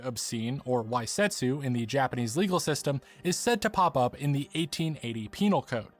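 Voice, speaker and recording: A man's voice: mid-range voice, expressive, brisk, no room sound. A man reading scripted documentary narration for a video essay.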